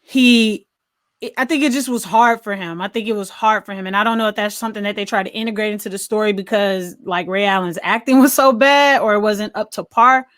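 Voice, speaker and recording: A woman's voice: mid-range voice, fairly quick, fairly bright tone, dry recording, studio quality. An American woman co-hosting a casual movie discussion, thinking aloud in a conversational way. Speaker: podcast co-host reviewing films. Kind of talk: casual chat, film discussion.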